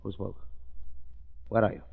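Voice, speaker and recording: An actor playing a deluded, vengeful villain in a 1930s radio drama, ranting and shouting. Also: male voice, normal speed, lightly acted. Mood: desperate denial. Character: deluded, vengeful man who thinks himself a reborn duke. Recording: dull old radio recording.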